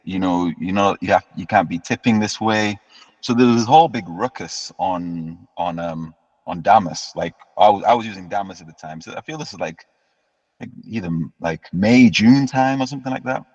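A man in his thirties speaks with a fairly low voice, easing through his story as a guest and doubling back on words as he goes. The recording sounds dull and lo-fi, with a long reverb hanging behind him. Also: normal speed. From United Kingdom. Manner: relaxed storytelling, often repeats words as he restarts. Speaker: guest musician. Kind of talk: casual interview chat.

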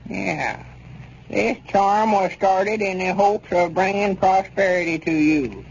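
A crotchety old country grandpa speaks in a creaky, high-pitched voice with a rustic Southern accent. His folksy, grumbling talk belongs to a 1930s radio comedy, heard on a muffled old recording. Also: slow, full character voice. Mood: curious, puzzled. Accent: rural Southern US.

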